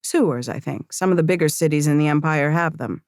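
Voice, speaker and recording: A woman's voice: low voice, brisk, a little mellow, no echo, studio quality. An actress playing a seasoned female leader of fantasy fighters in an audiobook, curt, commanding and matter-of-fact. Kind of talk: audiobook character acting.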